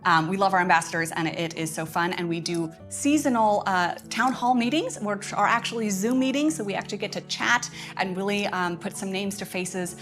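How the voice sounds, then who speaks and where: A woman's voice: mid-range voice, fairly even intonation, brisk, few pauses, fairly bright tone, faint music behind. A woman giving casual livestream announcements as a host, speaking in a chatty, enthusiastic way. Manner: chatty, enthusiastic, often chuckling. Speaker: host of a curriculum update livestream.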